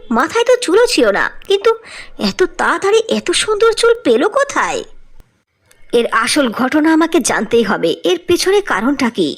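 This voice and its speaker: An envious, conniving boss lady from a cartoon, acted by a woman. Her pitch sits extremely high and swings about in lively, dramatic exclamations. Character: jealous scheming female beauty parlour boss. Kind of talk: cartoon voice acting.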